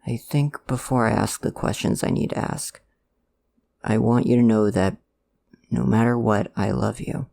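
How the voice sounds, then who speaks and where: Someone acting as a nervous loving dad for an asmr roleplay, jokey, kinda stammery, lots of vocal fry. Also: mid-range voice, steady tone, mellow, lightly acted. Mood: tender, reassuring. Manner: halting, stammering, conversational. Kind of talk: ASMR audio roleplay, one-sided dialogue.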